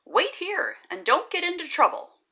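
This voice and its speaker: A woman acts out a caring, slightly stern gentleman from a children's picture book. The voice is bright and lilting, rising and falling widely as he gives instructions. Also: very high-pitched, big pitch swings, normal speed, voice pushed up, heavily acted. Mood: instructing. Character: caring, mildly stern adult man in children's storybook.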